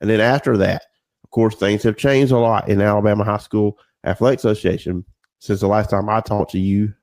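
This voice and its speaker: A man hosts a casual sports podcast in a lowish, gravelly voice. He sounds slightly nasal and congested from a cold. His delivery is informal and conversational, recorded dry and close. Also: fairly even intonation, fairly quick, a little mellow, no echo. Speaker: podcast host.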